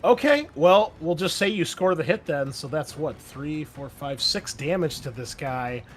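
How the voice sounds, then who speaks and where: A man's voice: a little high, animated, measured pace, faint music behind. Casual man narrating as game master. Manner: vivid, casual combat narration with fillers.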